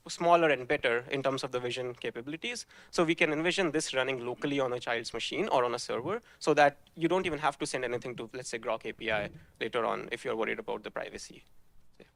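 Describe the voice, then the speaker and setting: A man's voice: mid-range voice, fast talker, creaky, a bit bright, dry recording. A man presenting his team's hackathon project, explaining the technical pipeline step by step and often restarting his sentences.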